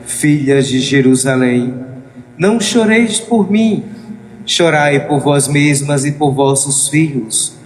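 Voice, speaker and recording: A man reading the part of a solemn prophet in a dramatized scripture reading, in a measured manner. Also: on the high side. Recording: echoey room with faint noise.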